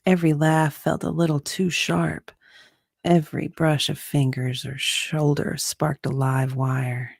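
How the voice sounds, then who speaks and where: A woman's voice: low-pitched, level tone, a little slow, gravelly, a little mellow, no echo, studio quality. A woman narrating a romance story in a sultry, dramatic style.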